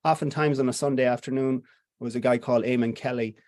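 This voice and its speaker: A man's voice: mid-range voice, steady tone, fast talker, darkish tone. An Irish playwright in conversation, a man with a middle-range voice. He explains at length and reflectively, often circling back to restart a thought. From Ireland. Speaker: playwright guest. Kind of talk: conversational interview answers.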